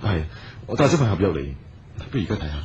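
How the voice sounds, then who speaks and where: A man's voice: low-pitched, big pitch swings, quick pace, dull audio, noisy background. A man playing an earnest, persistent businessman in a radio drama. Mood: apologetic, gentle. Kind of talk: Cantonese radio drama acting. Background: music.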